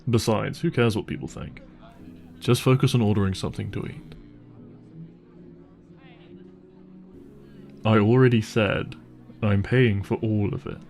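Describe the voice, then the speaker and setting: A wealthy young boyfriend, played by a man, speaks softly and intimately in an audio roleplay. His voice is on the low side with a noticeable fry, and music plays faintly behind him. He comes across as caring, apologetic and a little hesitant. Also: fairly even intonation, moderate pace. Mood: reassuring, affectionate. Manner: gentle, hesitant, apologetic, reassuring.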